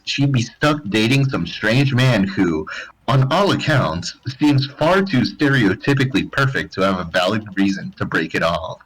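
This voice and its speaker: A man's voice: mid-range voice, a bit bright, slightly rough, rough recording. A man narrating fan fiction as a live dramatized comedic reading.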